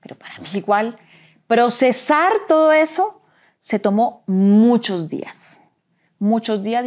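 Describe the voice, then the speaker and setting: Earnest Colombian woman, hushed and halting. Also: mid-range voice. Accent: Colombian Spanish. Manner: earnest, halting, repeats words, drops to near-whisper at heavy moments. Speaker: interview guest. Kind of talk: emotional personal storytelling.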